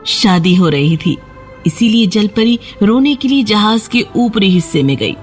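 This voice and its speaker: A woman's voice: medium pitch, lively intonation, soft background music. A woman storyteller giving a scripted narration of a children's fairy tale.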